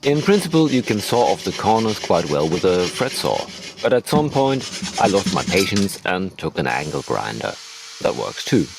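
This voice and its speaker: A man's voice: deep voice, sing-song, normal speed, creaky, background noise. A man narrating a hobby tutorial as a voice-over, hosting his own how-to video.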